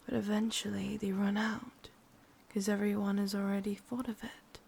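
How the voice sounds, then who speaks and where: A woman with an English accent voicing an ancient, lonely, lovesick witch in a breathy, husky whisper for an ASMR roleplay. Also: medium pitch, level tone, darkish tone. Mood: melancholy, yearning. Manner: slow, brooding, intimate delivery.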